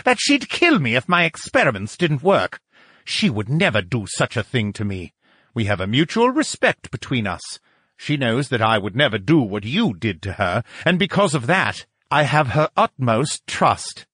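Male narrator as snooty rival scientist for an audiobook: raised pitch, swooping intonation, scornful and easily stung. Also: big pitch swings, normal speed, a bit bright, husky, no room sound, studio quality. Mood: indignant. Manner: mocking, scornful, shrill when provoked. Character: haughty, touchy, strange-looking male scientist rival. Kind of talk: audiobook character acting.

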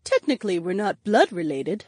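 A woman's voice: mid-range voice, very animated, moderate pace, darkish tone, no echo, clean studio sound. A woman voicing a caring, anxious young woman in an audiobook, in an earnest, fretful manner. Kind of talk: audiobook character acting.

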